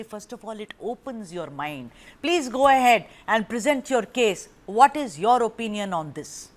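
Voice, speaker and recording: A woman's voice: fairly high pitch, fairly bright tone. Pressing woman news anchor. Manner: pressing, speaks over guests. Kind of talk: moderating a TV debate.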